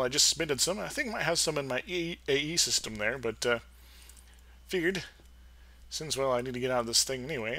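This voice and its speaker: Young male let's play host, casual and rambling, playful asides, bright tone. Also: a little high. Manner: rambling, playful, fumbles for words. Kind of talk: casual gameplay commentary.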